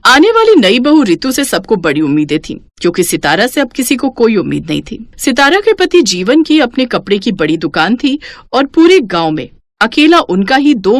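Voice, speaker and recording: A woman's voice: on the high side, no room sound. A woman narrating a scripted story as its storyteller.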